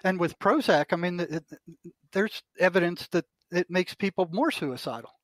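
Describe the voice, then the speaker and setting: An older man with a noticeably high voice speaks in an opinionated discussion. He is blunt, emphatic and indignant, sometimes swearing mildly. The recording is close and dry. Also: normal speed, no echo. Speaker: retired psychotherapist, podcast guest. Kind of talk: opinionated discussion, quoting articles aloud.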